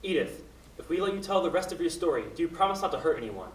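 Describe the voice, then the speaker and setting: Man playing earnest radio host in a radio drama; higher male voice, quick, urgent, echoey room. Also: expressive, natural voice. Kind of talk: student radio play acting. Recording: echoey distant room, noisy ambience.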